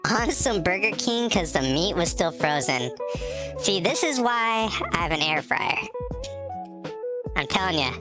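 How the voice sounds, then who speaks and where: A woman with a notably low voice, nasal and stuffed up from allergies, chatting loosely with her live-stream viewers. She laughs easily and greets people by name between bits of rambling talk. Clear music plays underneath her. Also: expressive, a little slow, long pauses. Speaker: game streamer. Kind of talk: casual live-stream chat.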